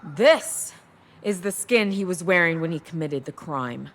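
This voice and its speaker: An actress playing a cold, commanding officer of an empire in a dramatized audiobook, speaking with deliberate menace. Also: female voice, lowish voice, animated. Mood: cold threat.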